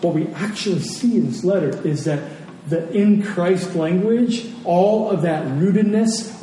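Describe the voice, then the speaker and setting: A man preaching a sermon as a pastor, earnest and emphatic, pausing and repeating phrases for weight. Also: warm tone. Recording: echoey hall, distant mic, faint rumbling noise.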